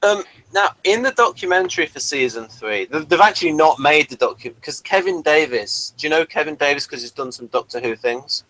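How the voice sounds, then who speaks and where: Man with a very bright, sharp voice chatting. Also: fairly high pitch, expressive, fairly quick, few pauses, poor audio. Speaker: host of a TV review show. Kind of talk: casual review chat.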